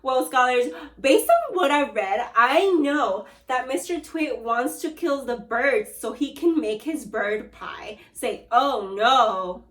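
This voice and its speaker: A woman teacher reading a storybook aloud to young children, with animated call-and-response prompts. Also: high-pitched, slow pace, hardly any pauses, roomy sound. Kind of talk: read-aloud lesson for children.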